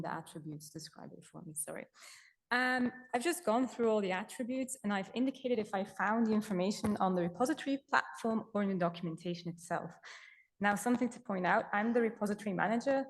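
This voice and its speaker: A young woman from Belgium, a native Dutch speaker, presenting her work as a repository manager in a chatty, self-correcting way with frequent fillers. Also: medium pitch. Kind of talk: conference presentation.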